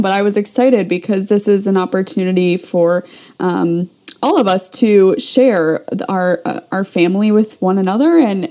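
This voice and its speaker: A woman's voice: medium pitch, mellow. A young woman sharing earnestly in an online faith discussion, with lots of ums and restarts. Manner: earnest, many fillers and restarts. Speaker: guest participant.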